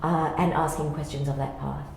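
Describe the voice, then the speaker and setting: A woman's voice: deep voice, steady tone, normal speed, vocal fry. A woman explaining a creative process as a theatre director, thoughtful and informal. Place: reverberant indoor room. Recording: very echoey room, faint background noise.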